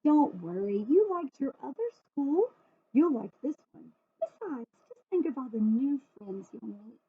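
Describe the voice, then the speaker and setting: Lady doing a man in a kids book, coaxing but firm, singsong, kinda high and slow. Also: big pitch swings, slightly rough, exaggerated voice. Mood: cheerful reassurance. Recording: phone-like, muffled thin audio.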